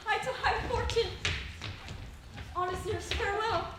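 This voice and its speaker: Very echoey, noisy room. Woman as lovestruck young girl in stage Shakespeare, very high and impatient, pleading theatrically. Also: sing-song, a little slow, distant mic, exaggerated voice. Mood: excited. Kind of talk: amateur Shakespeare play rehearsal.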